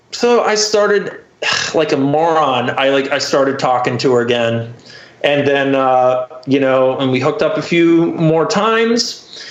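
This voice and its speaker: A man telling a personal story casually as a podcast guest, candid and profane, peppered with filler phrases. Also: mid-range voice. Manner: candid, profane, frequent "like" and "you know". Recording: roomy, slightly dull muffled audio.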